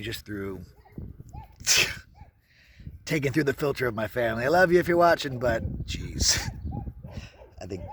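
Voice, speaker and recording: A man in his thirties talks as he walks around outside, pitched in an ordinary middle range. His storytelling is candid and loose, full of asides and self-corrections. A faint hiss of noise sits under the voice. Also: fairly bright tone. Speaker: travel vlogger. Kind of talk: casual walking vlog. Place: outdoors at a skate park. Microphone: handheld camera while walking.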